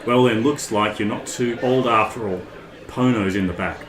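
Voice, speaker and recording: A man playing a brisk, teasing underworld contact of a smuggler in an audio drama, chummy and familiar. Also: on the low side, echoey room, a crowd in the background, close to an everyday voice. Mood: teasing, welcoming.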